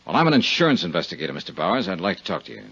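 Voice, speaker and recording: Insurance investigator, deep husky voice, cool, polite, persistent, 1950s radio detective style, dull recording. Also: male voice, very animated, rapid-fire, fairly bright tone, heavily acted. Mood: courteous, probing. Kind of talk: 1950s radio detective acting and first-person narration.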